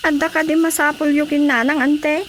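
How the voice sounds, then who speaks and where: A woman's voice: high-pitched, level tone, moderate pace, slight background noise, close to an everyday voice. A woman acting a listless, lazy young wife in a radio drama, evasive, with an Ilocano accent.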